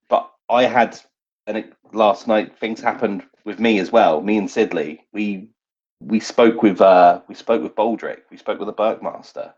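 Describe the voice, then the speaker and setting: Husky low-voiced man roleplaying at the table. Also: darkish tone. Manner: halting, repeats and reworks phrases. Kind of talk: improvised roleplay and narration of his character. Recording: dull, lo-fi recording.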